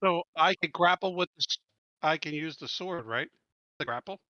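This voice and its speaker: A man's voice: fairly high pitch, normal speed, bright, forceful tone, dry recording, highs cut off. A man talking casually as a player in a tabletop roleplaying game.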